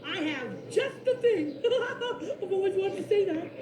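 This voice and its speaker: Playful cartoon yeti, very high and giggly, roomy sound with loud noise behind. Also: female voice, animated, unhurried, over music.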